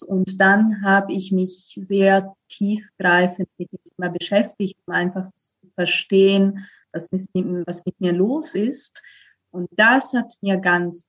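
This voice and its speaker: Dull-sounding recording of a woman guest. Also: mid-range voice. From Italy. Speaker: podcast guest, voice trainer. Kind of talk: reflective conversational interview.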